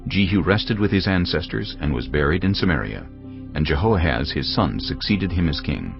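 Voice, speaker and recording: Very deep, gravelly male narrator. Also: highs cut off, music in the background. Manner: steady, measured reading. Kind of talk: scripted Bible narration.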